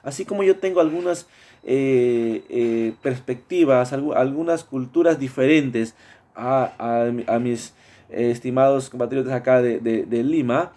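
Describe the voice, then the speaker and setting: Mexican man, mid-pitched, student presenting his project, halting with frequent fillers. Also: dark tone. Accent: Mexican Spanish. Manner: hesitant, many fillers and restarts. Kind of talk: spoken class project presentation.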